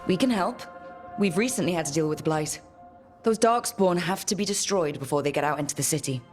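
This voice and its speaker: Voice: mid-range voice, brisk, close to an everyday voice. An actor playing a resolute young hero and leader in a fantasy video game, direct and determined. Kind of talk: dramatic video game acting. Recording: faint music behind.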